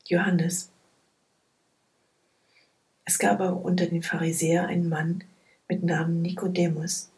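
A woman reading Bible passages aloud in a soft, hushed, measured way. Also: lowish voice, creaky, poor audio. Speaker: scripture reader. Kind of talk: slow, quiet Bible reading.

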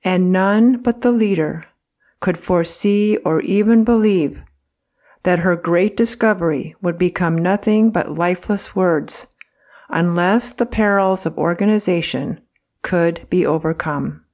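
A woman reading a historical account aloud as narrator, in a measured, earnest way. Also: on the low side, darkish tone. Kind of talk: scripted narration.

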